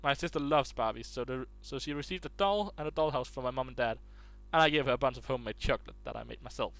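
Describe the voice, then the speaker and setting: Casual male reader of online stories, mid pitch, stumbles over words now and then. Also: quick pace, a bit bright. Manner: restarts and stumbles while reading aloud.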